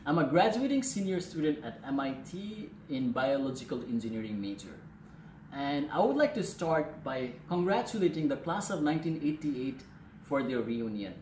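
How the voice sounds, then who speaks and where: A young man with a Nepali accent gives an earnest, formal student presentation. His voice sits a bit high. The room is echoey, with faint noise behind him. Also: very animated, normal speed, a little mellow. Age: 20s. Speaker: student speaker. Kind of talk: prepared personal presentation.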